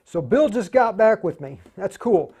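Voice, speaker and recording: A man's voice: sits high, very animated, a little slow, noisy background. A man hosting a casual hands-on livestream tutorial, explaining in an informal, stop-and-start way as he thinks aloud. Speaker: livestream tutorial host, amateur radio operator.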